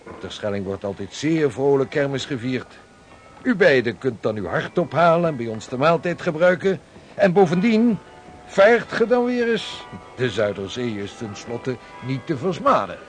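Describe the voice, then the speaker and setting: A man's voice: mid-range voice, big pitch swings, normal speed, soft background music, lightly acted. A man playing a hearty old-time sea captain. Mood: jovial. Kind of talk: period radio drama acting.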